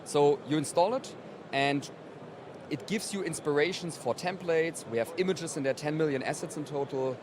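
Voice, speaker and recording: Man explaining a product amid loud noise. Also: fairly high pitch, moderate pace. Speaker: company representative being interviewed. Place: busy exhibition hall. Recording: loud trade show floor noise.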